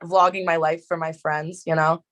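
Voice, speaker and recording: A woman's voice: deep voice, little pitch movement, normal speed, fairly bright tone. A young woman from New Jersey with a Jersey accent, chatting as an interview guest and filling her talk with "like". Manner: chatty, lots of "like" fillers. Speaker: guest, social media creator. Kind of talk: casual interview chat.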